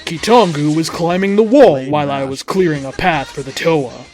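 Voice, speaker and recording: A man reads fan fiction aloud in a dramatic narrating style. His voice sits somewhat high for a man. Music plays behind him. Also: moderate pace. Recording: music under the voice.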